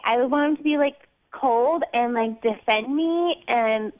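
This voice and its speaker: Young woman, radio call-in guest. Higher-pitched, defensive, casual, filler-heavy delivery through a dull phone connection. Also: a little slow. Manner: defensive, indignant, frequent filler 'like'. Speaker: caller on a radio dating segment. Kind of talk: argumentative casual phone conversation. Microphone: telephone. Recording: muffled, band-limited radio phone line.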